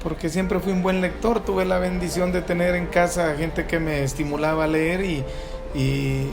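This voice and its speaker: A Salvadoran man in his forties talks through his answers, pausing on filler sounds and restarting phrases as he gathers his thoughts. His voice sits a little high for a man, and music plays clearly beneath it. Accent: Salvadoran Spanish. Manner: reflective, hesitant restarts with frequent fillers. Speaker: author and school director. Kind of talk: sit-down interview answers.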